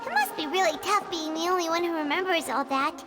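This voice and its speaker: A woman voicing a tiny, childlike fairy companion in a game, with a squeaky voice and an eager, blunt manner. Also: fairly quick. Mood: cheery, encouraging. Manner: talks about self in third person, eager. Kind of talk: animated game character dialogue. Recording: prominent music under the voice.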